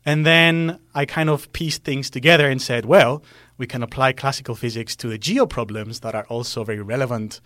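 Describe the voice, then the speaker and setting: A man's voice: mid-range voice, steady tone, brisk, a bit bright, no echo, studio quality. A middle-aged man, a non-native speaker whose first language is Greek, explaining earthquake science as a guest, earnest and full of fillers. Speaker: guest seismologist. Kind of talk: explanatory interview talk.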